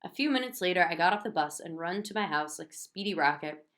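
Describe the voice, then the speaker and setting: A woman's voice: fairly low pitch, lively intonation, fast talker, a bit bright. A woman reading a children's book aloud expressively, narrating in a child's first person.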